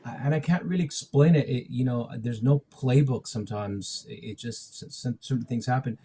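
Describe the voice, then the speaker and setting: A man from Mexico City who moved to Canada as a teenager, speaking as a musician being interviewed, reflective and halting as he thinks. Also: mid-range voice, warm tone, husky. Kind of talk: casual conversational interview.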